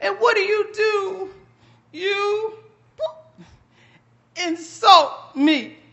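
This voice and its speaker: A woman's voice: very high voice, extremely slow, vocal fry, fairly bright tone, voice pushed up, exaggerated voice. A woman voicing a big sly buzzard in a folktale, dramatic, indignant and wheedling. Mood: wounded indignation. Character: big sly buzzard, theatrically offended, smooth-talking.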